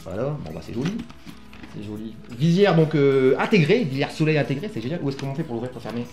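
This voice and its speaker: A man's voice: medium pitch, sing-song, fast talker, darkish tone. Bossy, joking man in an echoey room. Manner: bossy, teasing, coarse joking. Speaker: vlog host. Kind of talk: casual motorcycle gear unboxing chat to camera. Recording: echoey room with music behind.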